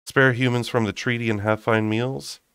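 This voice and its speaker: A man's voice: medium pitch, steady tone, normal speed, a bit bright, clear, resonant tone, dry recording, studio quality, pitched above the performer's own voice, natural voice. Man reading as a curt, guarded young huntress. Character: defiant, guarded nineteen-year-old human huntress.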